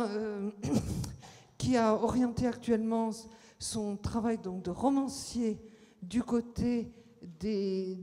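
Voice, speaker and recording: A woman's voice: medium pitch, warm tone. A woman giving a formal introduction of a guest speaker, hesitantly searching for words, in a reverberant lecture hall. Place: lecture amphitheatre.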